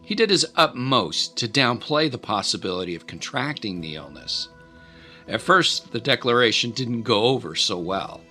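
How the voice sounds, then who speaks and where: A man's voice: medium pitch, sing-song, normal speed, sharp tone, faint music behind. A man narrating an audio drama in a dramatic, scripted storytelling style.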